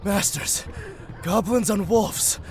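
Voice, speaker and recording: A man's voice: high-pitched, slow pace, vocal fry, background noise, pitched above the performer's own voice, exaggerated voice. A man voicing an urgent male ninja scout in a fantasy story, reporting out of breath.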